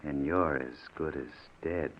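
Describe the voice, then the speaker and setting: An actor in an old radio suspense play playing a bitter, vengeful ex-convict, speaking with sardonic, deliberate menace. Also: male voice, a bass voice. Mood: cool hatred. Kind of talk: 1940s radio suspense acting. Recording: muffled old radio recording.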